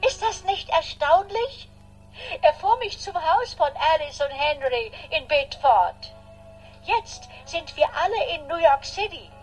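Slow, high-voiced chatty old lady. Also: animated, soft background music, rough recording. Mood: amazed, chatty. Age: older. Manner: rambling, formal, deliberate. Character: chatty, polite old lady leaving a message. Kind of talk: radio drama acting.

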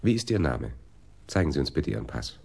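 An actor playing a laconic, dry-humoured police detective with a terse, businesslike delivery in a radio play. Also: male voice, rumbling low, sing-song, brisk, vocal fry, darkish tone, exaggerated voice. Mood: official, blunt.

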